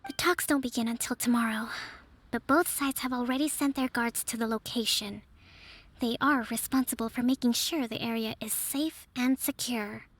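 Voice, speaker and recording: A woman voicing a young, calm strategist who leads an island, measured and soft-spoken. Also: fairly high pitch, lightly acted. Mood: composed. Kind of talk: video game voice acting.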